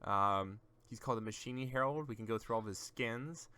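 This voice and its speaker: A man's voice: fairly low pitch, brisk, crowd noise. A man casually chatting on a gaming stream, giving rambling, teasing explanations to a friend as he shows off game characters.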